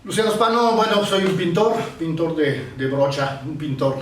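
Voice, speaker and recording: A man's voice: fairly high pitch, expressive, fairly bright tone. A man in his sixties from Italy talks through his memories in a reflective way, often stopping to search for the next word. He sits some distance from the mic in a room with noticeable reverb. A faint hum of ambience stays under his voice. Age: 60s. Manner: reflective, pausing to search for words. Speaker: painter being interviewed. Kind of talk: reminiscing in an interview.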